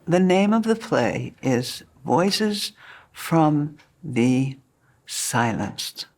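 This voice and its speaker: A man, co-writer of a play, retelling events in a brisk, animated way during an interview. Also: fairly high pitch. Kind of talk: documentary interview.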